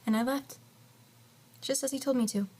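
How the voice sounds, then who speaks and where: A woman's voice: high-pitched, steady tone, normal speed, darkish tone, a little hiss or hum, natural voice. A young woman acting as a wistful, regretful, hesitant young woman in an audio drama narrated in the first person. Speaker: lead actress and narrator.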